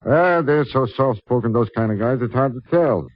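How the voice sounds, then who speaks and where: A man's voice: medium pitch, normal speed, dry recording, natural voice. An actor playing an easygoing, plain-spoken male barkeep in a 1950s radio drama, slangy and offhand. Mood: offhand, chatty. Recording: muffled old radio broadcast.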